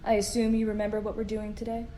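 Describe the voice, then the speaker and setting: A woman acts as a stern but fair female starship commander in a sci-fi audio drama. Her mid-pitched voice is dry and brisk, with an edge of exasperation. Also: monotone, mellow, slight background noise, close to an everyday voice. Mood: brisk exasperated.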